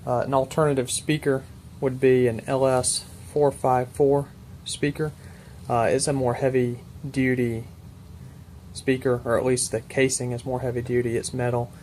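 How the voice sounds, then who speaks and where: Male demonstrator, medium pitch, unscripted technical explainer, methodical and plain-spoken, noisy room recording. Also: flat delivery, normal speed, a little mellow. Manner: methodical, matter-of-fact, precise with detail. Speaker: presenter demonstrating military intercom equipment.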